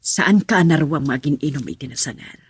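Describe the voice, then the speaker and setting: A concerned older mother in a Filipino radio drama. She is very husky and breathy, admonishing with wide swings of pitch, in a dry recording. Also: lively intonation, fairly quick, dry recording, full character voice. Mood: coaxing.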